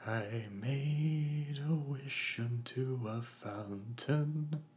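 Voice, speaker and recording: A man's voice: medium pitch, a little hiss or hum, pitched above the performer's own voice. A man doing an eerie whispering Inquisitor woman. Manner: soft, whispered, slow singing. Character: female Inquisitor singing, eerie and menacing.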